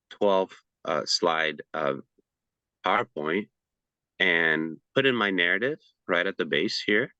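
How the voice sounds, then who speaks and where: A man's voice: low-pitched, pushed and bright, dry recording, dull audio. A man giving a casual presentation over a video call, chatty and loose, with frequent fillers and restarts.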